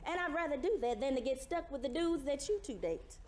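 A woman's voice: high-pitched, expressive, brisk, a little mellow. A woman playing a prim, indignant, principled young hotel worker in a stage comedy, stretching her key words emphatically. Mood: prim, defiant. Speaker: stage actor. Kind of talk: broad comedic stage acting. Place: indoor theater stage. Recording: echoey noisy theater stage.

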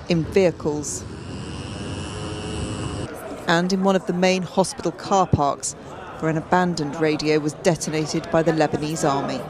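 A woman delivering a scripted television news report as a correspondent. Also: low voice. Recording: noisy street crowd and traffic. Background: crowd and vehicles.

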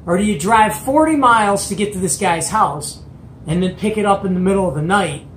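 A middle-aged American man with a Midwestern accent speaks in an echoey room. He tells a story in a casual, conversational way, animated and slangy, often checking in with rhetorical questions. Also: high voice, a little fast, slight background noise. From Detroit area, United States. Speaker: host of a CB radio show-and-tell. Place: small cluttered indoor radio room. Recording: echoey room, mic at a distance.

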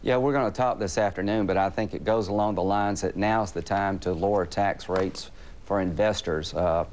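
A middle-aged man with a very low voice speaks from a remote studio. He lays out his points firmly and steadily, with a few fillers. It sounds like a TV interview link. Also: moderate pace, a little hiss or hum. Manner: steady, firm, some uh fillers. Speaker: senator, remote guest. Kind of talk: political talking points.

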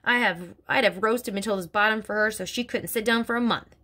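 A woman's voice: medium pitch, a little fast, bright, forceful tone. A woman reading aloud voices a bullying, hulking headmistress who barks, snorts and speaks with open contempt. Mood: bellowing scorn.

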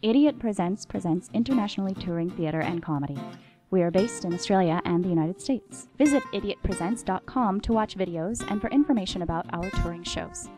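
A woman reading a scripted comic promo in a dry, deadpan manner. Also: medium pitch, a little fast. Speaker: announcer of a promo. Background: guitar music.

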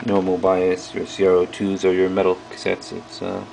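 A man giving a casual hands-on product review, speaking haltingly in short pieces as he handles things. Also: deep voice, monotone, vocal fry, dark tone, slight background noise. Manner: halting, piecemeal delivery while handling items. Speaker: video host reviewing old electronics. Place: small indoor workroom at a bench.

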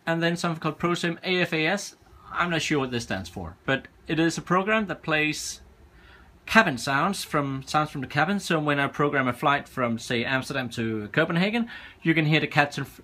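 A man's voice: a little high, pushed and bright, slightly rough. A man casually walking through his home cockpit setup, hesitant and searching for words, recorded indoors on a handheld camera.

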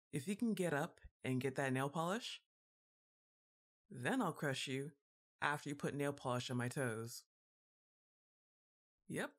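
A woman playing a goth giantess witch girlfriend, exasperated and fondly bossy, with a drawn-out teasing delivery in an intimate ASMR roleplay. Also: deep voice, full character voice. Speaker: audio roleplay performer.

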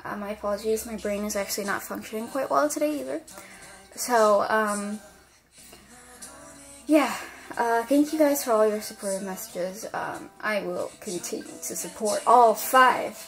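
A woman chatting casually while unboxing an album at her desk, rambling with lots of fillers and her voice going hoarse. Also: mid-range voice, normal speed, a bit bright, soft background music, rough recording. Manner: rambling, many fillers and restarts. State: losing her voice. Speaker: unboxing vlogger.

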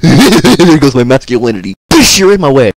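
A man's voice: medium pitch, sing-song, moderate pace, low-quality recording. A man performing comedic game voice lines in exaggerated character voices, theatrical and prone to outbursts.

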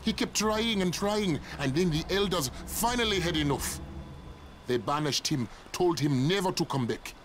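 A man's voice: on the high side, lively intonation, normal speed, noisy background, natural voice. An actor playing a grave, protective middle-aged village father in a video game, blunt, distrustful and ominous. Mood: grave warning. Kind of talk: video game cutscene acting.

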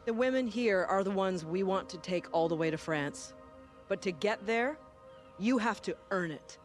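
An actress playing a demanding, earnest football coach giving a motivational speech in a game cutscene. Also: female voice, mid-range voice, lively intonation, moderate pace, faint music behind, close to an everyday voice. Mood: firm, motivating.

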